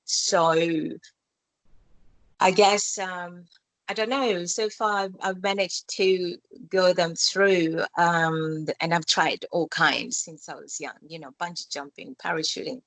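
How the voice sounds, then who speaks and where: A woman, born in Malaysia, answering interview questions in a calm, reflective way, speaking English with loose grammar and spiritual phrasing. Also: fairly low pitch, slow pace, no room sound, low-quality recording. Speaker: skin expert interviewee. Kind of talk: reflective casual interview talk.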